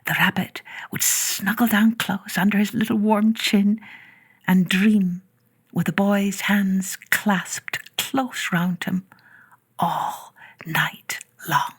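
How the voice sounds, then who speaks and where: A woman reads a children's storybook aloud at a medium pitch. Her telling is gentle and expressive, with phrases that sometimes stretch out or fall to a hush. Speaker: children's story podcast narrator. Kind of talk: scripted storybook narration.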